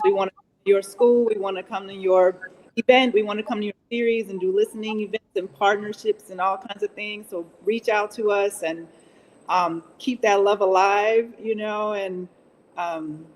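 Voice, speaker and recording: A woman's voice: medium pitch, a little mellow, slight background noise, poor audio. A woman, a guest on a show, talking casually to promote a project. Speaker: actor and producer, show guest.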